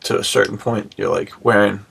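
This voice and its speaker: A man's voice: fairly low pitch, steady tone, brisk, creaky, a bit bright, slightly rough. A man hosting a podcast in casual chat, talking in a laid-back, slangy way with lots of "dude". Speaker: podcast host.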